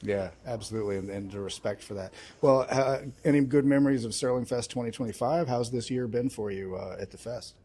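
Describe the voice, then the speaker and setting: A man's voice: mid-range voice, brisk, few pauses, warm tone. A man working as an interviewer, asking conversational questions in an agreeable, affirming, slightly tentative way.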